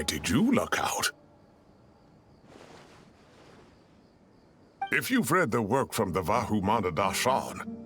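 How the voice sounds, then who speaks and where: A fussy but kindly older professor from a video game. His pitch leaps about as he chats and mock-grumbles theatrically, over soft music. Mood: curious, musing. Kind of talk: animated video game voice acting. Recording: music under the voice.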